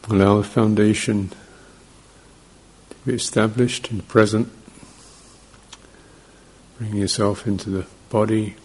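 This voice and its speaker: A man teaching guided meditation, speaking in short, gentle fragments with long pauses between them. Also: on the low side, little pitch movement, slightly rough, poor audio.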